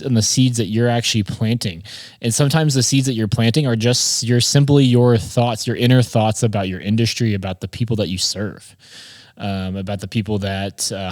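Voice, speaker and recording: A man's voice: mid-range voice, a little mellow, no echo, clean studio sound. A man hosting a podcast, giving an informal, conversational motivational talk on business and addressing listeners directly. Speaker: podcast host and marketer.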